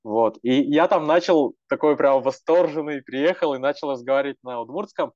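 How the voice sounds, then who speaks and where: A man's voice: medium pitch, moderate pace, a little mellow, no room sound, highs cut off. A young man talking casually and earnestly as a language activist, rambling with many fillers and restarts. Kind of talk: casual panel talk.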